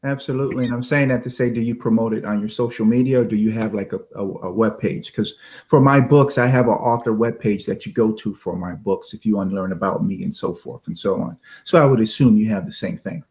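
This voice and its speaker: A man's voice: fairly low pitch, quick pace, a little mellow. A man co-hosting a podcast, asking questions and often chiming in with agreement. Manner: affirming, interjects agreement often. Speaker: podcast co-host and author. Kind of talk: casual interview questions.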